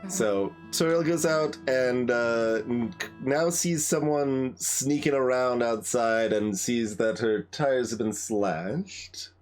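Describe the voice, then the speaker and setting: A man giving casual game commentary as host, thinking aloud in a halting way. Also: mid-range voice. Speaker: gaming video host. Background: game music.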